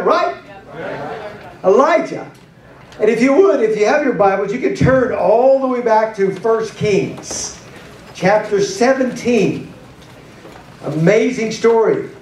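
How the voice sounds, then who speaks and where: A middle-aged man preaching with lots of energy, his voice sitting high for a man. He moves about a church stage with a handheld mic. The sound is roomy and a little distant, caught from across the hall. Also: a little hiss or hum. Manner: animated preaching, gesturing and pacing the stage. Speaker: pastor. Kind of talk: sermon.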